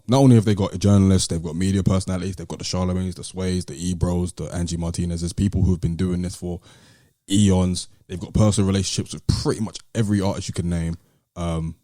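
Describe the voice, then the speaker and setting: A British man co-hosting a podcast, talking in a casual, measured and articulate way with some slang. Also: low-pitched, mellow, no room sound, studio quality. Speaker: podcast host. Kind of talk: casual discussion and commentary.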